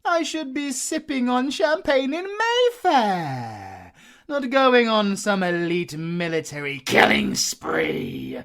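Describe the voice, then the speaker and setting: A flamboyant British werewolf villain in an audio drama. He drawls slowly and theatrically, with long pauses and big swings in pitch. He sounds smug, mocking and cruel. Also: huge pitch swings, creaky, a bit bright, heavily acted. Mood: menacing, gloating. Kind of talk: audio roleplay acting.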